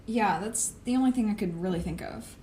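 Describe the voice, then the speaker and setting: A woman's voice: medium pitch, brisk, warm tone, natural voice. A woman acting a sardonic, matter-of-fact superpowered team planner in an audio drama. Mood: confident, plotting. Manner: casual, swearing, matter-of-fact. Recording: clear background noise.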